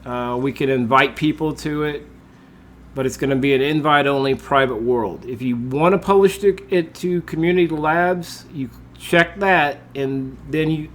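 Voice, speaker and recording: Congested man giving a software tutorial. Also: fairly high pitch, unhurried, a little hiss or hum. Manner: relaxed, with frequent uh and um fillers. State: congested from a cold. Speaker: tutorial host. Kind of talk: screen-recorded software tutorial.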